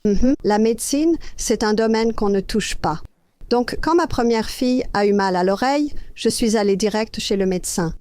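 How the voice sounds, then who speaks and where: A woman's voice: a little high, normal speed, a little mellow, clean tone, dry recording. An older woman from Australia, a health educator, telling her personal story as an interview guest in an earnest, anecdotal way.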